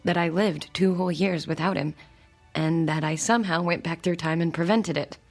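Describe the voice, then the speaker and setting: Anxious, breathy radio drama wife. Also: female voice, fairly low pitch, steady tone, faint music behind. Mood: shaken, confessing. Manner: anxious, halting, confessional. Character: distraught married woman confiding a strange experience to psychiatrist.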